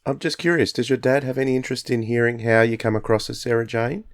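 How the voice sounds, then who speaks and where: A man's voice: mid-range voice, fairly even intonation, quick pace, dry recording, clean studio sound. A man co-hosting an interview, talking in a casual, chatty style. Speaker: interviewer, co-host.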